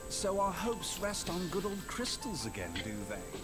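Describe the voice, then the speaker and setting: A man acting an urbane, wry gentleman in a video game, with polished and formal phrasing. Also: high voice, sing-song, measured pace. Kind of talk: scripted video game dialogue. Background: game music.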